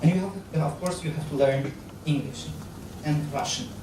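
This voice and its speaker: A Polish-accented man in his thirties gives a public lecture on stage, pausing often and restarting his phrases. His voice sits fairly high and rings through a very echoey hall. The sound is picked up from a distance over a clear background hum. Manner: halting, with frequent fillers, restarts and gestures. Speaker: guest lecturer on astronaut training. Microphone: handheld microphone. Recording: very echoey hall, captured from far off.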